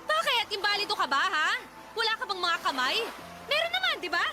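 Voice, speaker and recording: A woman's voice: extremely high pitch, big pitch swings, brisk, very noisy. An actress playing a fed-up young mother in a Tagalog radio drama, scolding her partner with sarcastic retorts.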